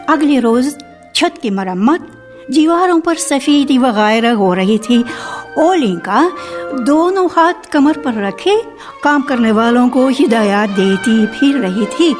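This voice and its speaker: A woman narrates a scripted radio drama with expressive, literary delivery. Her voice is high and lively in pitch, moving at a slow pace. It is an archival broadcast recording with music underneath.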